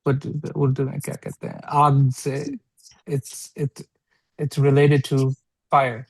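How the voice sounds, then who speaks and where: A man teaching an informal interactive read-along class, explaining phrase by phrase in a halting way and often checking for understanding. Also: medium pitch, completely flat delivery, breathy edge, no echo, rough recording. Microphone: online video call.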